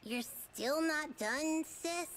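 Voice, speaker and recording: A woman's voice: high-pitched, very slow, a crowd in the background. An actress voicing an exasperated, bossy younger girl in an anime-style game, grumbling and scolding.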